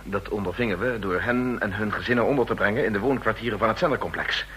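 A man's voice: lowish voice, quick pace, a bit bright, a little hiss or hum, lightly acted. Man playing an earnest, worried official. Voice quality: earnest, anxious. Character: worried male radio station official, earnest. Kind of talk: vintage radio drama acting.

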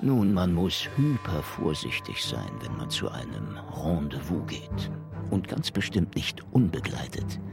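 An actor playing a wry, world-weary middle-aged investigator in a noir radio drama, dry and laconic, with a gravelly voice. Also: male voice, a bass voice, very animated, unhurried, heavily acted. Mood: dry narration. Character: wry, hard-boiled, world-weary older investigator in Moscow. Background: music.

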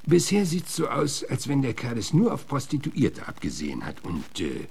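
Gravelly, gruff old-West lawman. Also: mid-range voice, huge pitch swings, darkish tone, full character voice. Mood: reassuring, confiding. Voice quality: gravelly, husky. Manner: halting, folksy, deferential. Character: gruff rheumatic frontier marshal, male, plain-spoken, awkwardly courteous. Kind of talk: German radio drama acting.

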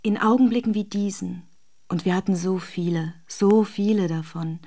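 A woman's voice: mid-range voice, fairly even intonation, moderate pace, mellow, natural voice. An actress playing an adoring, despairing woman in a secret love affair, in an intimate, emotional radio drama. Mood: fervent, hopeful.

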